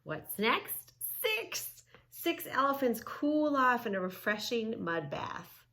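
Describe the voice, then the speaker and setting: A woman hosting a children's yoga read-along, teaching in an emphatic, sing-song way with drawn-out key words and questions. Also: fairly high pitch, sing-song, pushed and bright. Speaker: children's yoga story-time host. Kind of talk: read-along lesson for young children, narrating and instructing.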